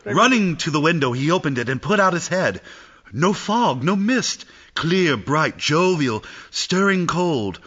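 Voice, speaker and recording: A man's voice: a little high, lively intonation, unhurried, a bit bright, highs cut off. A man narrating a classic tale in a dramatic, scripted storytelling style.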